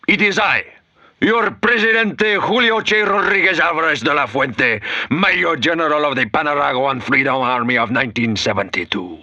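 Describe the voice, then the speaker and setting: An actor playing a pompous Latin American military president with a Spanish accent, delivering grand, imperious orders in a declamatory address. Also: male voice, medium pitch, sharp tone, no room sound, lo-fi sound, full character voice.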